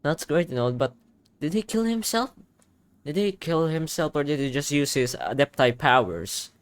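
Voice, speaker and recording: A man's voice: on the high side, expressive, moderate pace, smooth, no room sound. A man doing casual reaction commentary as he plays through a game's story. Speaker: gamer reacting to a story quest. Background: soft game music.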